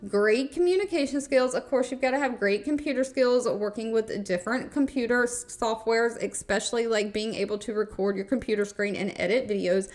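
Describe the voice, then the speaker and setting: A woman with a slightly high voice presents as a video host. Her delivery is upbeat and conversational, leaning on key words for emphasis while she narrates informally from notes. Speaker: video host presenting remote job listings. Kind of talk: informal scripted screen-recording narration.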